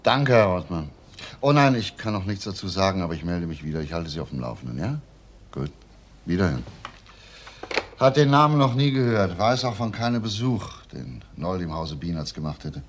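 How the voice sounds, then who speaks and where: An actor playing a shrewd, provocative police detective in a crime drama, asking probing, insistent questions with pointed pauses. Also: male voice, low voice, very animated. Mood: inquiring, puzzled. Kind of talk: German TV crime drama acting.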